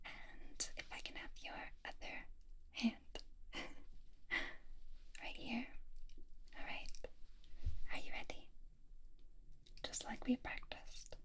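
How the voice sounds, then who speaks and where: Soft whispered young heroine played by a woman, gentle, reassuring, unhurried, slightly high, close mic. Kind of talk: ASMR roleplay.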